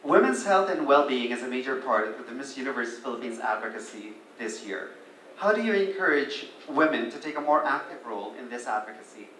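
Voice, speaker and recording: A man's voice: mid-range voice, lively intonation, fairly quick, fairly bright tone. A man interviews pageant contestants in a friendly voice, now and then adding a playful aside. His voice carries the echo of a roomy space, with a faint hiss underneath.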